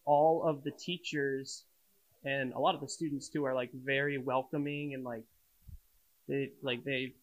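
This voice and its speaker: Young male student, casual interviewee, evenly pitched delivery in a middle register. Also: flat delivery, unhurried, rough recording. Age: young adult.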